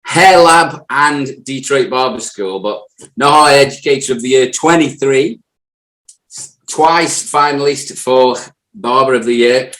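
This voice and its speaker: British male host, mid-pitched, jokey and teasing, roomy sound. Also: unhurried, fairly bright tone. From United Kingdom. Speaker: podcast host. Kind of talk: casual chat.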